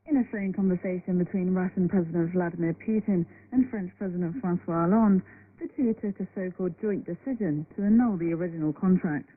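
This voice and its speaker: A woman's voice: lowish voice, brisk. A woman reading a scripted news report as a reporter, over a phone-like shortwave signal. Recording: phone-like shortwave radio, faint static.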